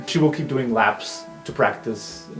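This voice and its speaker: A man's voice: mid-range voice, unhurried, a bit bright. A man working as game master, casually narrating an improvised tabletop roleplay scene. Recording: roomy echo, faint music behind.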